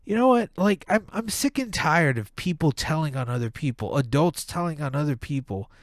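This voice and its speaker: A man's voice: on the high side, animated, normal speed, dry recording, clean studio sound. A man with a stuffed-up nose, hosting a podcast and ranting with heavy profanity in a casual monologue. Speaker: podcast host.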